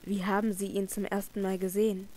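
A woman's voice: medium pitch, flat delivery, a little fast, darkish tone, voice pushed down, lightly acted. A woman reading a storybook, voicing an earnest, protective young man who pleads urgently with his beloved.

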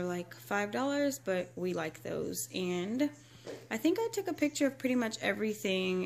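Casual American woman vlogger. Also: fairly high pitch. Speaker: couponing vlogger. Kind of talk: casual chat to viewers.

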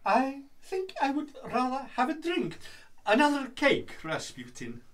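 A man acts out a jittery young aristocrat in a radio comedy. The voice sits very high and jumps about, hesitant and over-polite, a little way off from the microphone. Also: huge pitch swings, measured pace, fairly bright tone, recorded from a distance, exaggerated voice. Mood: nervous. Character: nervous, stammering young aristocrat, an inept male conspirator. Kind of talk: comic radio play acting.

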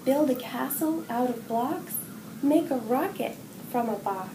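Middle-aged female storybook reader, expressive and deliberate for young kids; roomy, noisy recording. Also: sits high. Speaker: children's story reader, hospital executive assistant. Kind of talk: picture book read-aloud for young children. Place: indoor room with wall decorations. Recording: roomy echo, distant mic, clear background noise.

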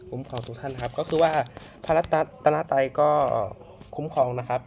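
A man from Thailand hosting a casual horror reaction video, excitable and full of exclamations. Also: mid-range voice, brisk, a little hiss or hum. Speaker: video host.

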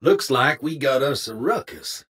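An actor playing a folksy, friendly cowboy robot in a video game, with an American Western drawl and theatrical Old West slang. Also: male voice, medium pitch, lively intonation, unhurried, fairly bright tone. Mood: stern warning. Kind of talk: video game character acting.

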